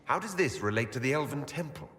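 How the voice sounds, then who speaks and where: An actor playing an earnest, devoted elven hero in a video game, speaking plainly and sincerely. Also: male voice, medium pitch, expressive, fast talker, a bit bright, long reverb tail, natural voice. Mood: concerned. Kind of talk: video game cutscene acting.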